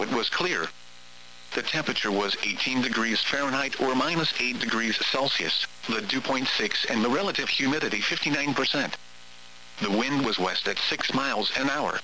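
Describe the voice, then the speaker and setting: A synthetic man's voice, extremely bright and crisp-edged, reads a weather forecast like an automated radio announcer. The delivery is formal and measured, at a medium pitch. A faint hum runs under the radio broadcast. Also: rough recording. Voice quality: synthetic text-to-speech voice. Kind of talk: scripted weather forecast reading.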